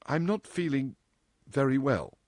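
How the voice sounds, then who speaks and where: A man's voice: mid-range voice, wildly swooping pitch, no echo, clean studio sound, pitched above the performer's own voice. A man voicing a polite young woman secretary, hesitant and easily flustered. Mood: faltering. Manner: hesitant, halting, stammering politeness.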